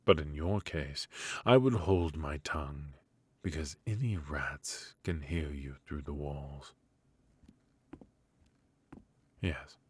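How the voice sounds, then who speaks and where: A man playing a bitter, haughty royal mage in an intimate fantasy ASMR roleplay, speaking in a measured, aristocratic, dryly mocking way. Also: extremely low pitch, slightly rough, exaggerated voice. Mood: wry, guarded. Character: bitter, sardonic, haughty royal mage passed over for succession. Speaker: amateur voice actor.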